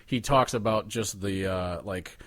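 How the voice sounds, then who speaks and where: A man's voice: on the low side, a little slow, a bit bright, dry recording, studio quality. A man co-hosting a casual talk show, rambling through his commentary in a loose, sweary, conversational way. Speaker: podcast co-host. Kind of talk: casual chat, ranking songs with commentary.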